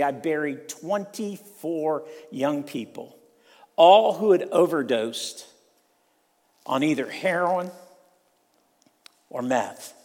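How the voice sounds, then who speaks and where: An older man from Ohio preaching a sermon in a church, slow and emphatic, repeating key lines. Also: on the high side. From Ohio, USA. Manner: slow, emphatic preaching with repetition and rising intensity. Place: church sanctuary. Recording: long hall reverb.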